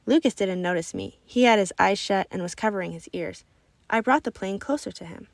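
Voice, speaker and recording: A woman reading a children's story aloud in expressive, scripted narration. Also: mid-range voice, fairly quick, vocal fry, dry recording. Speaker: storybook reader.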